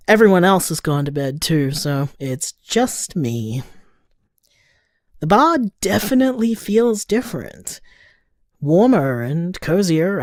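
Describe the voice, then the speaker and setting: An affectionate, wry partner, acted by a woman in an audio drama monologue. She takes her time, with a slightly low and very expressive voice. The recording is dry and close. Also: dry recording. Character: affectionate, easygoing partner leaving a late-night message.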